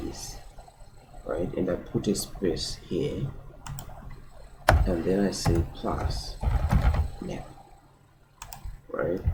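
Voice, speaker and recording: Casual coding tutor in his twenties, slow deliberate delivery, audible typing in the background. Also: low-pitched. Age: 20s. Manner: repeats words and adds "right?" often. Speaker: programming tutor. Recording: keyboard typing and room noise behind.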